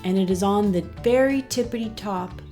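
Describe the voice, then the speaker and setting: A woman reading a scripted children's story as narrator, in an expressive, deliberate storytelling manner. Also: medium pitch, soft background music.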